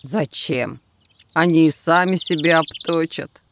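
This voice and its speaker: A man's voice: fairly high pitch, a little slow, gravelly, fairly bright tone. A man playing a young village craftsman, an obedient, matter-of-fact son in an audio drama, plain and laconic. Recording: faint noise, birdsong behind. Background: birdsong, outdoor village sounds.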